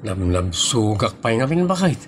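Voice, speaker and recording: A man with an Ilocano Filipino accent acting a sleepy, sheepish, good-natured husband in a comedy audio drama, protesting in drawn-out exclamations. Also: on the low side, very animated, a little hiss or hum.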